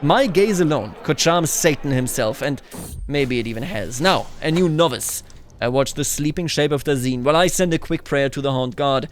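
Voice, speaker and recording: Male game streamer, slightly high voice, casual and expressive, thinking aloud between narrated passages. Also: normal speed, slight background noise. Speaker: gaming video host. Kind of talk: casual game commentary mixed with reading in-game story text aloud.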